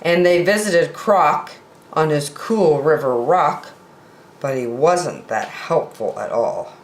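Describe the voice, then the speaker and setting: A woman reads a picture book to small children at an unhurried pace. Her voice sits a little low and swings widely in expression. The room adds a clear echo. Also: expressive, slight background noise. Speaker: storyteller reading picture books. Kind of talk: expressive read-aloud for young children. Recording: roomy home recording with echo.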